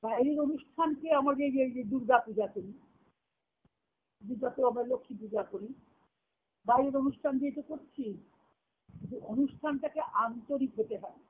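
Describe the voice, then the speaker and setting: A woman's voice: sits high, brisk, pauses often. Woman spiritual teacher on a tinny phone line. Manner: reflective, explanatory, repeats key phrases. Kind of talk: devotional discourse, explaining scripture and song. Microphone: phone conference call. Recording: tinny narrowband conference-call phone line.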